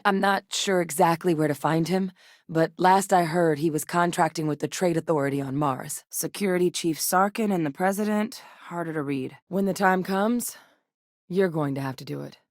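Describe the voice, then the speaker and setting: An actress plays a guarded, principled woman scientist in a sci-fi game. Her voice sits low, recorded very dry and close. She speaks in a measured, earnest manner with a wry edge. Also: no room sound, natural voice. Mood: measured, serious. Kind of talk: video game character dialogue.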